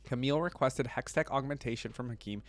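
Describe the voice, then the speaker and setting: A man's voice: medium pitch, fast talker, faint music behind. A man hosting a reaction video, mixing casual, enthusiastic commentary with reading aloud and his own interjections. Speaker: video host reacting to game character lore.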